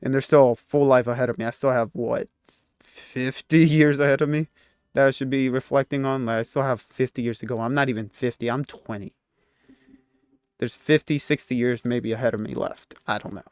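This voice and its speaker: A man's voice: mid-range voice, steady tone, fairly quick, a little mellow, clear, resonant tone, dry recording. A man of twenty talking casually to his channel, rambling and restarting his sentences and laughing at himself now and then. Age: 20s. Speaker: YouTuber. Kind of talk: casual reflective vlog talk.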